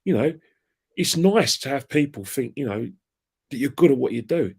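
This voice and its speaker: A man's voice: medium pitch, expressive, normal speed, gravelly, darkish tone, slightly rough. A man, ranting in a heated casual chat about football fandom and trading colourful insults. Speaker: fan channel guest.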